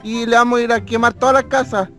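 A man's voice: very high voice, flat delivery, quick pace, sharp tone, heavily acted. A man voicing a whiny, indignant Chilean peasant farmer in a pleading, rustic way on a prank phone call. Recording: music behind the voice.

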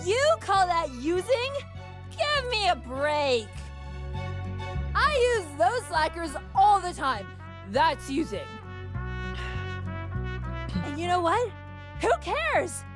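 A woman's voice: extremely high pitch, sing-song, slow, long pauses, music in the background, voice pushed up, full character voice. A woman voicing a brash, scornful, drunken young woman in a visual novel, scolding bluntly. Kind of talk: dramatic visual novel read-aloud.

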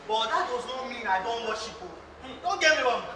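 A man's voice: very high voice, animated, brisk, a crowd in the background. A man with a Nigerian accent acting a touchy young husband in a live stage play, emphatic and repeating himself. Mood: defensive. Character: touchy, devout young husband, quick to take offence. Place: theatre stage, indoors. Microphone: far camera. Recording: echoey noisy hall, distant mic.

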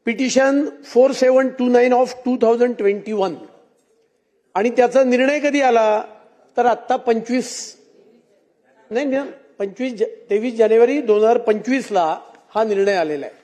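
A man's voice: very high-pitched, normal speed, dark tone. A man speaking as a legislator in formal debate, insistent, posing rhetorical questions. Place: legislative assembly chamber.

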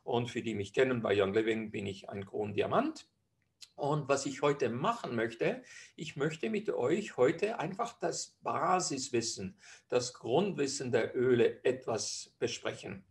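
Gravelly Swiss man lecturing casually. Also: medium pitch, animated, measured pace. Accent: Swiss German. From Switzerland. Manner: chatty explanations with frequent hesitations. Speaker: physician presenter. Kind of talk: webinar lecture over slides.

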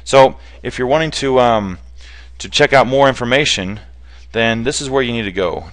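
A man's voice: mid-range voice, moderate pace. A man giving an informal, unscripted explanatory presentation in a conversational, plain-spoken way, as a hands-on specialist presenter. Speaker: bee removal specialist presenter.

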